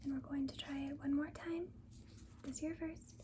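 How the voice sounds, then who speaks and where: A woman doing a soft-spoken ASMR nurse roleplay, mostly in a breathy whisper, with a gentle, reassuring manner. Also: high voice, steady tone, a little hiss or hum. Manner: gentle, reassuring, slow and deliberate. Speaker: ASMR performer playing a school nurse.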